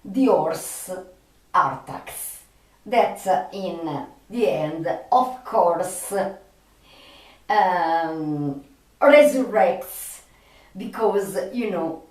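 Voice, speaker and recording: Slow, halting woman in an echoey room. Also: on the low side, expressive. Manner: halting, with long searching pauses. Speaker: video creator and film fan. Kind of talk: unscripted personal monologue to viewers. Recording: echoey room, distant microphone.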